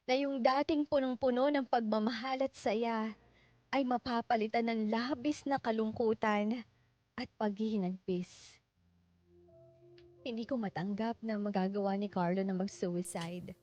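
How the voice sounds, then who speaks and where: A Filipino woman narrating a radio drama as a sorrowful bereaved young wife, in a measured, mournful way. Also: on the high side, steady tone, darkish tone, no echo, muffled recording, natural voice. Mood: mournful, remorseful. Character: sorrowful bereaved wife recounting her loss. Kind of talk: scripted dramatic first-person narration. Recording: prominent music bed under narration. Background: music.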